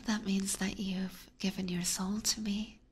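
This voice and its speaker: A woman voicing an adoring, possessive vampire catgirl with sweet menace, whispering slowly and tenderly in an ASMR roleplay. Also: medium pitch, little pitch movement. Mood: devoted, yearning. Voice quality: breathy whisper. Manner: slow, tender, coaxing.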